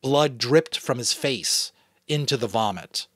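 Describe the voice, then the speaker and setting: Lively New England man reading aloud. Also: mid-range voice, measured pace, slightly rough, dry recording, studio quality. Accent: New England American. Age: adult, a father. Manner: animated, expressive storytelling. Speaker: audiobook reader. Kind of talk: dramatic audiobook reading with commentary.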